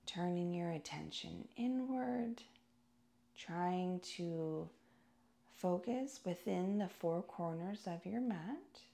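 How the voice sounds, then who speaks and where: Female meditation guide: very unhurried, soothing delivery, noticeable vocal fry, deliberate phrasing. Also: on the low side, lively intonation, warm tone. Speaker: yoga and meditation teacher.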